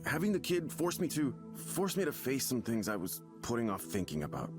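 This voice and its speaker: An anxious young dad in a narrative game, guarded and conflicted. He speaks in a naturalistic, halting way with stammered restarts, while music plays clearly behind him. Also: mid-range voice, big pitch swings. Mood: troubled, defensive. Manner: halting, hesitant, stammering confession. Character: troubled young father hiding a secret self, anxious. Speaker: visual novel character in a therapy session.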